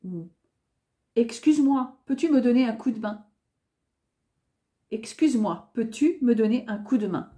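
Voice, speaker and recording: A woman teaching a beginner French lesson, saying each useful phrase twice with careful, clear enunciation. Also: on the high side, lively intonation, a little slow, long pauses, mellow. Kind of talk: beginner language lesson, repeating phrases slowly.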